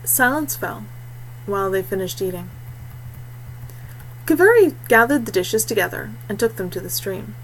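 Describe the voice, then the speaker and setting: A woman's voice: mid-range voice, expressive, normal speed, pauses often, low-quality recording. Woman narrating fiction over background noise. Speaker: audiobook narrator.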